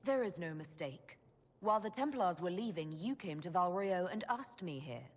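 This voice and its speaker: A woman's voice: on the low side, lively intonation, moderate pace. An actress playing a composed young female leader in a fantasy video game, formal and direct. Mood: questioning, firm. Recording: game music behind the voice.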